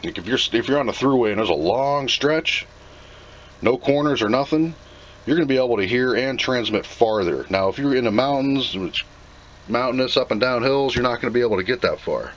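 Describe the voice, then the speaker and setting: A man's voice: mid-range voice, animated, fairly quick, low-quality recording. A plainspoken man giving an off-the-cuff, casual commentary as he reviews and unboxes a product.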